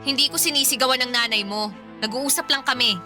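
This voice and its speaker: A woman's voice: sits high, quick pace, bright, forceful tone. A woman playing a sulky, whiny, argumentative young Filipino girlfriend in a radio drama. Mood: irritated, whiny. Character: sulky, ungrateful young pregnant girlfriend, snappy and complaining. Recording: music bed under the voice.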